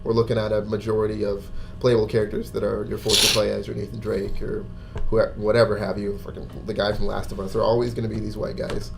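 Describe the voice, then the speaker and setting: Gravelly-voiced man chatting on a podcast. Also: fairly low pitch, flat delivery, quick pace. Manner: informal, conversational. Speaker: podcast co-host. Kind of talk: casual panel discussion. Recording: faint room noise.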